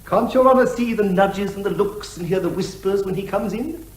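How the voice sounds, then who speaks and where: An actor playing a verbose barrister who argues rhetorically and is elaborately deferential to the judge. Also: male voice, high-pitched, moderate pace, roomy sound, close to an everyday voice, low-quality recording. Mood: declamatory, insistent. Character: verbose, theatrical male barrister, obsequious to the judge. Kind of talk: comic courtroom advocacy.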